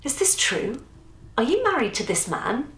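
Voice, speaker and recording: A woman voicing a shaken, gentle groom with a tremble in his voice, in an echoey room. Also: on the high side, big pitch swings, normal speed, voice pushed up.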